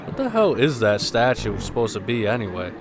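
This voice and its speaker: A man hosting a gameplay video, mixing casual commentary with lore read aloud, in a somewhat halting delivery. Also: fairly low pitch, big pitch swings, creaky, background noise. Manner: halting, stumbles while reading aloud. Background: game music.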